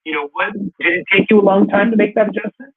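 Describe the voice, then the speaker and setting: A man's voice: sits high, fast talker, creaky, breathy edge, narrow, tinny audio. A man hosting an interview, asking long, rambling questions that are full of restarts. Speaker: talk show host. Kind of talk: casual interview.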